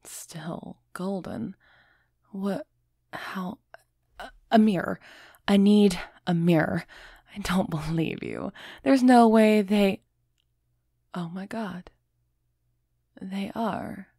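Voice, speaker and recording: A woman acts out a commanding female vampire in an ASMR audio drama. She speaks slowly and deliberately, with a gravelly fry under her soft, teasing authority. The sound is dry and intimate, with no room around her. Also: mid-range voice, no room sound. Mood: startled, flustered. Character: aloof female vampire, commanding, teasing, warming to human captive.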